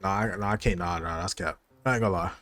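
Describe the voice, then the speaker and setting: Slangy low-voiced American streamer guy. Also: fast, fairly bright tone. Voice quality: stuffy nose. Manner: slangy, informal, hyped asides. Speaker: streamer reacting to a game story. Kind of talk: casual livestream reaction commentary. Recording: game music behind the voice.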